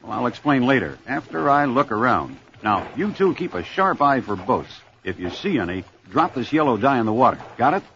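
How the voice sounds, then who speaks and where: A man playing a calm, protective grandfather and seasoned diver in an audio drama. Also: mid-range voice, fairly quick, hardly any pauses, slight background noise. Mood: calm, instructive. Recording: dull lo-fi recording, faint music.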